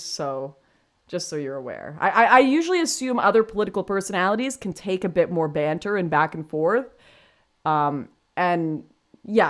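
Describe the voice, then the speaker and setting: A woman in her twenties with a deep voice, chatting frankly on a livestream, reading super chats and thinking out loud. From Canada. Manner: chatty, frank, self-deprecating, thinks aloud with restarts. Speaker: livestream host.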